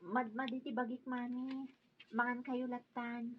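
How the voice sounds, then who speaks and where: A high, faint young woman, sick and weak, in a radio drama. She speaks as an ailing daughter with little strength. The sound is dry and dull. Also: level tone, a little slow, slightly rough, no room sound. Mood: weak, pleading. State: weak and ill. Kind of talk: Ilocano radio drama acting. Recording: quiet, dull, lo-fi audio.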